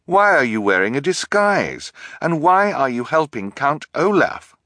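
A man's voice: fairly low pitch, wildly swooping pitch, moderate pace, a bit bright, dry recording, studio quality. A man voicing an earnest, clever boy who questions pointedly. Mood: indignant.

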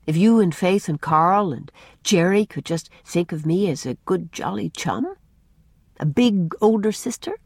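A woman voicing a kind, gentle young woman who speaks softly and reassuringly. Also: lowish voice, animated, measured pace, husky, dry recording, exaggerated voice. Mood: tender. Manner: soft, tender, reassuring. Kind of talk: audiobook character reading.

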